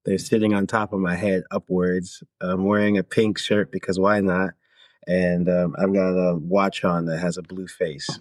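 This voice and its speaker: Low-pitched man, casual guest. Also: little pitch movement, moderate pace, no echo. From Toronto, Canada. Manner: conversational, reflective, often checks in with the listener. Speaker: podcast guest, singer-songwriter. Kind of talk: casual interview conversation.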